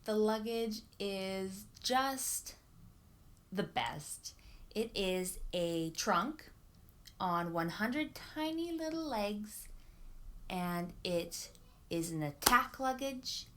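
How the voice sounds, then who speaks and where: A woman's voice: mid-range voice, lively intonation, extremely slow, fairly bright tone. A young woman vlogger chatting casually and enthusiastically, often repeating words for emphasis. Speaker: book vlogger. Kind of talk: casual chat to camera.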